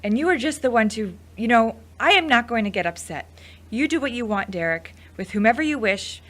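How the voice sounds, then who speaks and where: A woman's voice: a little high, animated, brisk, fairly bright tone. A woman playing a wry, wounded woman in an audio drama, speaking in a pointed, sardonic way. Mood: wry, resigned. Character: wry woman hurt at being dumped, feigning indifference. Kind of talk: amateur audio drama acting.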